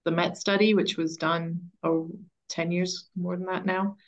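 A woman giving an expert interview in a conversational style, explaining her points in a measured, deliberate way. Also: lowish voice, little pitch movement. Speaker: interview guest, education author and coach.

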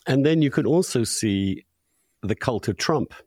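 Male podcast host, middle-aged, emphatic and opinionated, very lively intonation, close dry recording. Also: medium pitch, measured pace, dry recording. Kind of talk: conversational podcast discussion.

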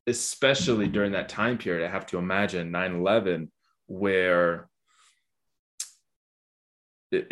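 Low-voiced chatty podcast host. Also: male voice. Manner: chatty, musing, with fillers. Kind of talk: casual interview.

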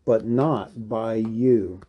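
A man's voice: lowish voice, drawn-out words, husky, highs cut off, heavily acted. A man voicing an old male wizard in a storybook reading, grave, watchful and patient, with a thoughtful, firm manner. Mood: grave, alarmed.

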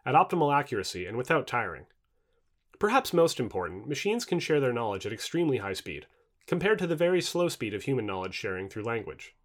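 A male narrator reads formal non-fiction prose like an audiobook. His pitch moves in a lively way and he keeps up a quick pace, while each phrase stays clearly enunciated. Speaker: reader of a non-fiction book. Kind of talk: scripted audiobook-style narration.

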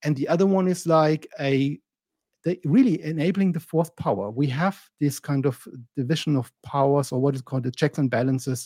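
Husky mellow-voiced podcast man. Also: medium pitch, no room sound. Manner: searching for words, animated and emphatic. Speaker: podcast co-host. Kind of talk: casual podcast discussion.

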